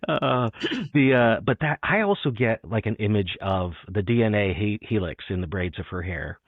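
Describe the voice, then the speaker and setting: A man's voice: fairly low pitch, lively intonation, moderate pace, no echo. A man hosting a poetry show, chatting about literature in an enthusiastic, appreciative way. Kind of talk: conversational literary interview. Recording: muffled phone-like audio.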